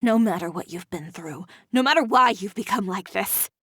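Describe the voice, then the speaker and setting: An actress playing a stern, self-assured young fashion designer in a video game, composed and blunt. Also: female voice, medium pitch, animated, moderate pace, a bit bright, breathy edge, no room sound, clean studio sound. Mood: stern resolve.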